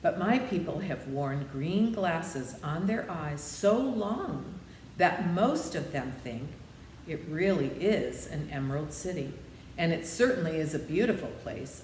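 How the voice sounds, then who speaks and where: A woman's voice: low-pitched, a little mellow, a little hiss or hum. Woman reading as an old humbug wizard, echoey. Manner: meek, explanatory, measured. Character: old humbug wizard, apologetic yet kindly.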